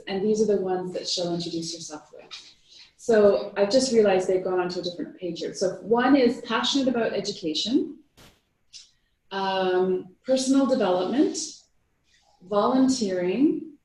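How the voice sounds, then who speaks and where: A woman's voice: medium pitch, a little mellow. A woman leads a workshop round table in a chatty, friendly manner. Her voice is picked up from a distance in a very echoey room, with faint noise underneath. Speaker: workshop host.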